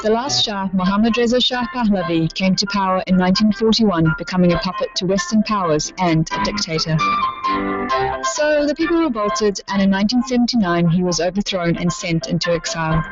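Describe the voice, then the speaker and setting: A woman from Iran giving a measured, reflective scripted documentary narration. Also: mid-range voice. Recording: muffled narration over loud music.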